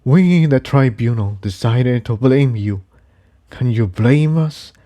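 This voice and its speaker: Elderly male pony ruler, done by a narrator: quavering, grave, unhurried, soft mellow tone. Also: medium pitch, animated. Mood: solemn.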